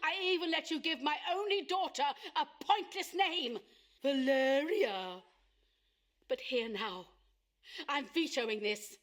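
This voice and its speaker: Indignant wife and mother for radio drama: high pitch, deliberate slow delivery, emphatic and theatrical. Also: lively intonation, a bit bright, heavily acted. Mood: defiant. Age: middle-aged. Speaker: actor. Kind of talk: radio play acting.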